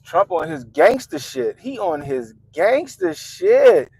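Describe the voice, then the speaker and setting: A man's voice: high-pitched, very animated, slow pace, creaky, a little mellow, slightly rough, rough recording. A congested man hosting his vlog, talking in a loose, profane, laughing rant from inside his car. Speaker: vlogger host. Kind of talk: casual profane commentary.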